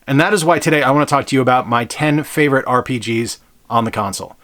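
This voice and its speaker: A man's voice: mid-range voice, quick pace, fairly bright tone. A man hosting a game channel, talking about games in an upbeat, enthusiastic way. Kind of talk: casual game review talk.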